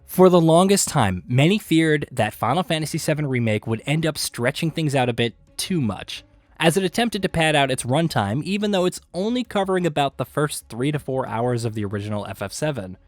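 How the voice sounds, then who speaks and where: A man reading scripted video game list narration with an emphatic, punchy delivery and dramatic pauses. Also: a little high, expressive, dry recording. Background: background music.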